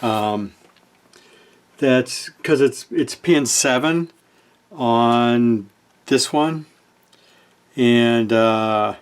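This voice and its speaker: A man giving casual, unscripted narration of hands-on repair work, thinking aloud in laid-back phrasing, often trailing off and correcting himself. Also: mid-range voice. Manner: halting, trailing off and self-correcting mid-thought. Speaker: host of a repair channel, hobbyist radio restorer.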